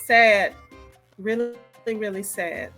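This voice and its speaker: A woman's voice: mid-range voice, slow, sharp tone. Female reaction host: casual, emphatic, openly disapproving commentary that hammers its points, over background music. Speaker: host reacting to a recorded call.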